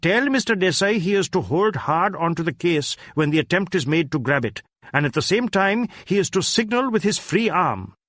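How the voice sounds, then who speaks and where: A man voicing a stern, commanding Indian police superintendent in an audiobook, issuing crisp authoritative orders. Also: sits high, animated, moderate pace, hardly any pauses, fairly bright tone, no room sound, studio quality, voice pushed up.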